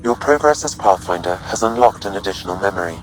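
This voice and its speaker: A man voicing a calm male ship AI that speaks in an even, measured, analytical way. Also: fairly low pitch, slight background noise, close to an everyday voice, low-quality recording. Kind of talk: video game voice acting.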